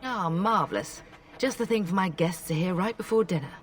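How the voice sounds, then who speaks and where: A woman's voice: fairly low pitch, a little fast, recorded from a distance, lightly acted. A woman playing a proud middle-aged noblewoman in a fantasy game, a gracious mother who speaks with refined, dry wit. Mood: dryly teasing. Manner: refined, wry, poised.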